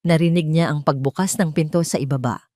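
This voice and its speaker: A woman's voice: sits low, brisk, a little mellow, no echo, clean studio sound. A woman with a Filipino accent reading a scripted, dramatic love story as its narrator.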